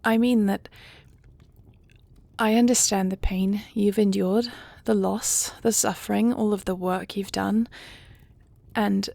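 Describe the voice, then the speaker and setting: A regal dragon queen acted by a woman in an audio drama, earnest and motherly. Her medium-pitched voice has a creaky edge and is recorded dry and close. She speaks in formal, measured phrases with a gentle touch. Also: steady tone, dry recording. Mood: tender, earnest. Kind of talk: scripted audio roleplay drama.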